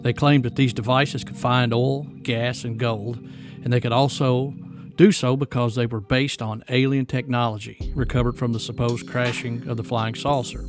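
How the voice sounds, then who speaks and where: A man hosting a podcast, speaking in a scripted narration style. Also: mid-range voice. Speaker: podcast host.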